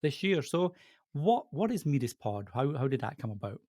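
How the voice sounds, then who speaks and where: A man speaks as a podcast interviewer in a casual, chatty style. His pitch sits in the middle of the male range. The recording is dry, with hardly any room sound. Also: very animated, moderate pace, darkish tone, no room sound.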